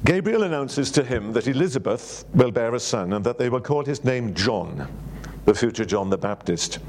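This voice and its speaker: An older man with a Welsh accent giving a sermon, reading scripture in a gentle, unhurried way with frequent pauses and fillers. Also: medium pitch, animated, slight background noise. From Wales. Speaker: church secretary giving a sermon.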